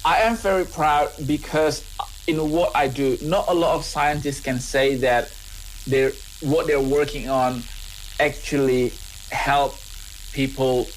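A man's voice: fairly high pitch, fairly even intonation, slow pace, a little hiss or hum, low-quality recording. A man in his thirties with an Indonesian accent, a scientist answering interview questions earnestly, often repeating and restarting words. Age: 30s. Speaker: scientist and business owner.

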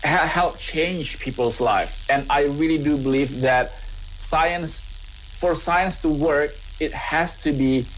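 A man's voice: on the high side, takes it slowly, fairly bright tone, slight background noise, rough recording. Earnest Indonesian-accented man, restarting words. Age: 30s. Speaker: scientist and business owner. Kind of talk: radio interview answers.

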